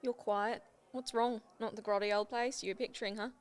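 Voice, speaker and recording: A woman's voice: on the high side, fairly even intonation, a little fast, clear, resonant tone, close to an everyday voice. A woman playing a feisty, devoted niece in an amateur radio play. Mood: hurt, pleading. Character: feisty, devoted niece who works at community centre.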